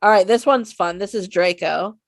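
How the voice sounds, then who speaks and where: Relaxed woman co-host, gravelly fry in her voice, dry close sound. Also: mid-range voice, moderate pace, no echo. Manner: encouraging, relaxed. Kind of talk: casual fan chat.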